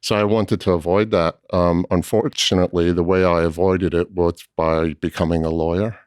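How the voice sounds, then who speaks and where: A man's voice: deep voice, little pitch movement, normal speed, darkish tone, clear, resonant tone, no room sound. A middle-aged man from Scotland with a Scottish accent, a guest in a casual podcast interview, telling his story with dry, self-deprecating humor. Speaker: guest, cooperage co-owner.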